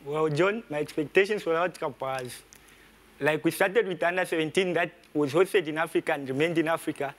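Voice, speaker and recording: Male contestant, slightly high pitch, confident and chatty. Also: a little fast.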